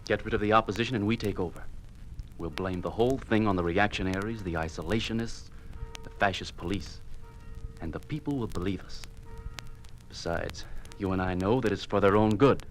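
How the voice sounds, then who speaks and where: A man's voice: lowish voice, brisk, soft background music, close to an everyday voice, poor audio. An actor with an American accent playing a cold, resolute male ringleader of bombers in an old-time radio drama. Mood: cold, persuasive. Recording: vintage radio recording.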